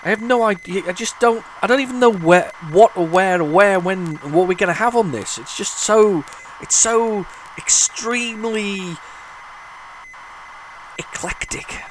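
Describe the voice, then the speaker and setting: A man hosting a retro gaming video, talking casually while loading old tapes, with a head cold and a rambling, restart-filled way of talking that jumps into excited bursts. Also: high voice, measured pace, a little hiss or hum. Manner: rambling, chatty, lots of restarts and sudden excited outbursts. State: has a head cold, sniffly.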